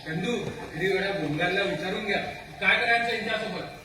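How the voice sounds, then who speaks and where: Man acting a lenient high-voiced tribesman, echoey hall. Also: normal speed, lightly acted. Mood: bargaining. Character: softer-hearted forest tribesman, lenient, bargaining companion. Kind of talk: amateur stage play acting in Marathi. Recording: very echoey noisy hall, far from mic.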